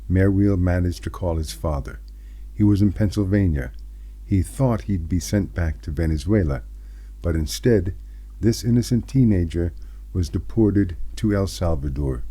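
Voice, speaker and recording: A middle-aged American man hosting scripted political commentary, speaking in an earnest, emphatic way with deliberately set-apart phrases. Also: deep voice, dark tone. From United States. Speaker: host of a commentary channel.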